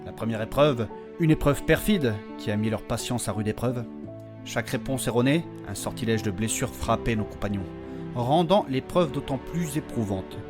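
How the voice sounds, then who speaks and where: Story narrator, solemn, theatrical, medium pitch, dramatic scripted delivery, prominent music bed. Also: expressive, normal speed.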